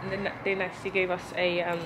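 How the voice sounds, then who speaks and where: A woman's voice: on the low side, completely flat delivery, quick pace, background noise. A young woman giving a chatty house tour, full of "like" and half-finished thoughts. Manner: chatty, filler-heavy, trailing off mid-thought. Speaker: vlogger. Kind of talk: casual house tour chat. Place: empty new house and garden. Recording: echoey empty rooms.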